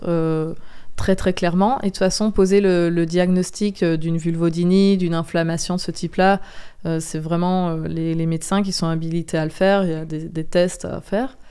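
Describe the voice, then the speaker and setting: Female podcast guest. Very clean, resonant, mellow tone; candid, earnest, insistent delivery with hesitant pauses. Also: lowish voice, fairly even intonation, clean tone. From Belgium. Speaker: interview guest, comic book author. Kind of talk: candid podcast interview testimony.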